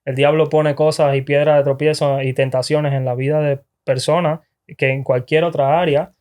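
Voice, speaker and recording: A man's voice: fairly high pitch, flat delivery, moderate pace, a little mellow. A man hosting a podcast, interviewing his wife in a casual, encouraging way with guiding questions. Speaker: podcast host.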